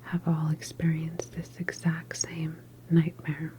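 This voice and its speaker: A woman performing an ASMR medical roleplay as a calm government doctor, speaking in a deliberate, reassuring clinical manner. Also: low-pitched, background noise. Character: calm female government doctor examining a patient.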